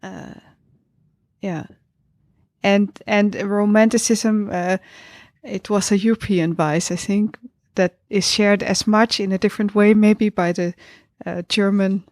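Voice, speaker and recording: A woman's voice: medium pitch, steady tone, takes it slowly, darkish tone, no room sound. Woman musing in a close, dry sound. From Netherlands. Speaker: panel discussion participant. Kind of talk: casual intellectual conversation.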